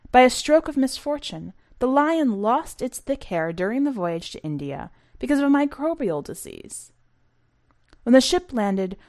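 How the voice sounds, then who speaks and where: A woman narrating a short story for a podcast in a measured, precise, scripted audiobook style. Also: mid-range voice.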